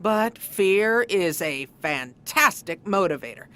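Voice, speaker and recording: A woman's voice: mid-range voice, lively intonation, takes it slowly, a bit bright. An actress playing a sarcastic, domineering woman boss in a comedy audio drama, curt and commanding. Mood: menacing. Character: sarcastic, domineering agency boss woman who rules by fear.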